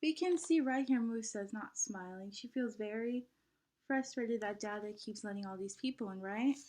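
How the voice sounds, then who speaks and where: A woman reading a children's picture book aloud in an animated teacherly way, guiding young listeners with questions and prompts. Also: medium pitch, moderate pace.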